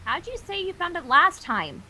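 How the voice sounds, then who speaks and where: A prim, bookish young unicorn, acted out by a woman for a fan fiction reading. She is bossy and exasperated, with a bright, high voice that swings dramatically as she lectures. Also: big pitch swings, normal speed, exaggerated voice. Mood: dry.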